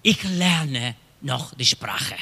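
Middle-aged chilean pastor guy preaching slow, bright high voice, big hall reverb. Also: lively intonation, vocal fry. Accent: Chilean Spanish. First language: Spanish. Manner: slow, storytelling, with dramatic hushed drops. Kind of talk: sermon. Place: church hall.

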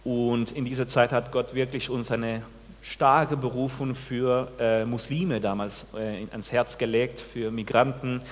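Man from Peru, 40s, pastor, sermon, halting with restarts, addresses congregation, average pitch, long church reverb. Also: steady tone. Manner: halting, many restarts, asks the congregation questions. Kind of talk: sermon with personal testimony.

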